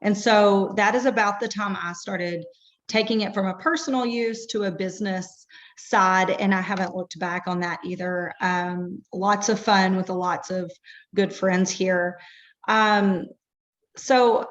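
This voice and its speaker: A hoarse woman in her thirties, her voice half gone, talks through a personal testimonial at an unhurried, drawn-out pace. She fills her gaps with frequent ums. The recording is dull and lo-fi. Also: medium pitch, fairly even intonation. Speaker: product distributor.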